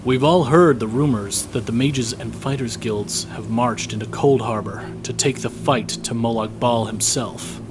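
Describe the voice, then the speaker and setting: A man playing a weary, guilt-ridden young imperial soldier in a fantasy audio drama, reading his journal in a measured, reflective way over music. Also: mid-range voice, noisy background, natural voice. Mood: uncertain, pondering.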